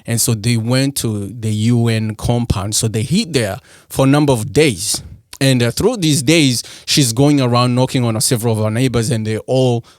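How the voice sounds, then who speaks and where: A man in his thirties from Rwanda, a podcast guest telling his personal story in a measured, halting way with many fillers and restarts. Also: mid-range voice, no echo, clean studio sound.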